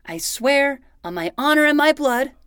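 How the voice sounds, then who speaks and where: A woman's voice: high-pitched, expressive, normal speed, a bit bright, no room sound, clean studio sound. A woman playing an earnest, self-important twelve-year-old boy detective in a fiction podcast, speaking in a formal, theatrical way. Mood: solemn.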